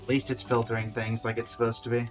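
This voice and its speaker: A man streaming a video game in a casual, conversational way, often half-muttering to himself. His voice is mid-pitched, with game music playing behind him. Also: completely flat delivery, fast talker. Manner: muttering to himself while troubleshooting. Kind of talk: casual live stream commentary.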